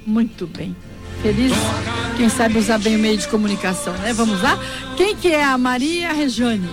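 Upbeat lady host chatting w guests, kinda high voice, faint music behind. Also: normal speed. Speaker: radio or event host. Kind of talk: lively live interview hosting.

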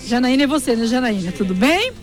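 A woman's voice: fairly high pitch, big pitch swings, fast talker, faint music behind. A woman hosting a live interview in an upbeat, chatty, exclamatory way. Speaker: radio or event host.

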